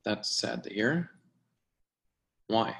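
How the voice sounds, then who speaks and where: An actor reads a polite, guarded professional in a screen drama. His voice sits a little low. He speaks hesitantly, with a nervous, reserved courtesy. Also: expressive, drawn-out words, creaky, fairly bright tone, full character voice. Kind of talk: dramatic screenplay table read.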